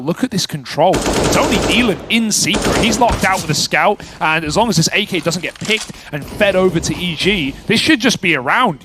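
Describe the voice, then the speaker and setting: Fairly high-voiced male esports commentator. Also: background noise. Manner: colloquial, slangy delivery. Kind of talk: live Counter-Strike match commentary and analysis.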